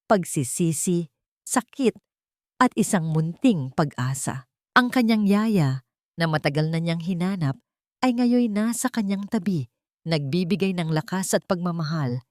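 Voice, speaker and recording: A Filipino woman narrates a dramatic story in a fairly low voice. Her phrasing is solemn and measured, and the recording is dry and close. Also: expressive, dry recording. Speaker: audiobook narrator.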